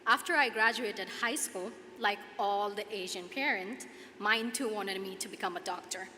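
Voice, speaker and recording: A woman in her twenties from Nepal, with a Nepali accent, delivering a prepared commencement speech in an earnest, deliberate manner with dramatic pauses. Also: mid-range voice, pushed and bright. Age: 20s. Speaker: student commencement speaker. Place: large hall at a podium, audience ambience. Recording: echoey hall PA, clear background noise.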